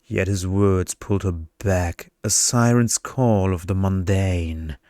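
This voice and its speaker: Deep, slow male ASMR storyteller. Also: a little mellow, no room sound, studio quality. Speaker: story narrator. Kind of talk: scripted ASMR story narration.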